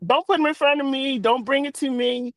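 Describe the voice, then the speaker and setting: A woman's voice: high voice, steady tone, a little fast, dry recording, studio quality. A woman chatting casually on a live talk show, plain-spoken and emphatic, repeating phrases for stress.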